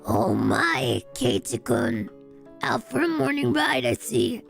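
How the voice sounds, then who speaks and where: Genial old country villager, very low pitch, folksy, visual novel character, soft music behind. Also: female voice, huge pitch swings, takes it slowly, vocal fry, full character voice. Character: friendly elderly villager out in the fields.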